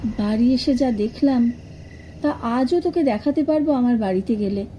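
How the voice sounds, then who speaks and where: A woman narrating a first-person horror story in an expressive, suspenseful, dramatic scripted style. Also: on the high side, moderate pace, a little hiss or hum, rough recording. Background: atmospheric sound effects.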